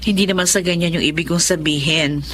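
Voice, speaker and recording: An actress playing a nosy, suspicious close friend, insistent and gossipy, in a radio drama. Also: female voice, on the low side, steady tone, a little fast, a bit bright, slight background noise, lightly acted. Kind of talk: Tagalog radio drama acting.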